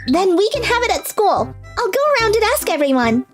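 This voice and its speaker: A woman playing a youthful, expressive schoolgirl in scripted animated dialogue. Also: very high voice, brisk. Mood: bright, casual. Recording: music behind the voice.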